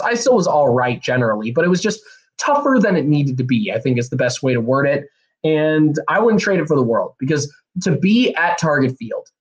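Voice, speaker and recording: A young man, hosting a solo podcast in a rambling, energetic way, a bit hoarse and nasal from a cold. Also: fairly high pitch, low-quality recording. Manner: rambling, energetic, many fillers and restarts. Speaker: podcast host. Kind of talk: casual solo podcast talk.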